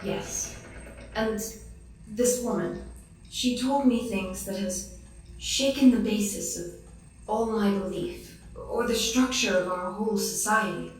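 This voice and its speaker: Woman as devout troubled noblewoman, formal, animated, distant echoing sound, music underneath. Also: mid-range voice, slow, natural voice. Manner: formal, emotionally fraught. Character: troubled noble clockwork lady, devout and earnest. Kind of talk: fantasy audio drama.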